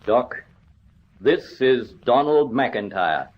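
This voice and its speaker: Formal prison governor, muffled recording. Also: male voice, mid-range voice, animated, extremely slow. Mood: earnest. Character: earnest, well-meaning prison governor, an old colonel. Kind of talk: old-time radio drama acting. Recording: dull old radio recording.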